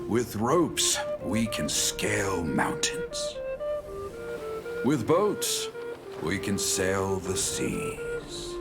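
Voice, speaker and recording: A man's voice: mid-range voice, heavily acted. A man playing an aging, solemn adventurer in a video game, delivering a grave, poetic monologue with weary hope. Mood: reflective, wistful. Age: older.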